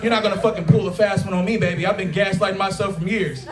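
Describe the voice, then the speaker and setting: A man's voice: high voice, fairly even intonation, fast, very noisy. A man in his thirties doing a crude, conversational stand-up set at a taproom, often checking in with the crowd. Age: 30s. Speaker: stand-up comedian. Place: taproom stage before a live crowd. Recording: echoey bar room with crowd. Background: audience laughter.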